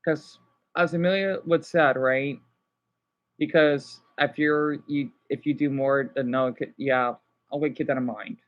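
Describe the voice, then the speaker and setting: A man chatting casually to live viewers as a streamer, rambling and halting as he jumps between thoughts. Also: on the high side, fairly even intonation, muffled recording. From United States.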